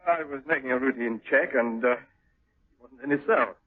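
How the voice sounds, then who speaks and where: An actor playing a shaken, earnest prison lieutenant in a 1970s radio drama, halting and deferential. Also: male voice, medium pitch, close to an everyday voice. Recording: muffled old radio broadcast recording.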